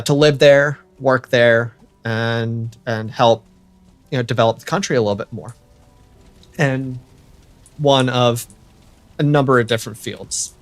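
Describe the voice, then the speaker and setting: A man's voice: mid-range voice, no room sound. A young American man chatting casually to viewers while he livestreams a building game, rambling and correcting himself as he goes. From United States. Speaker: streamer.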